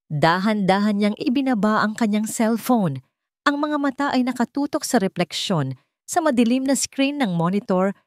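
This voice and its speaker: A woman's voice: fairly low pitch, dry recording, studio quality. A woman with a Filipino accent narrating a scripted dramatic story.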